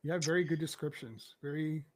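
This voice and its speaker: A man co-hosting a casual podcast, loud, with quick exclamations and swearing. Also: a little high, fairly even intonation, no echo. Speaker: podcast co-host. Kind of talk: casual chat.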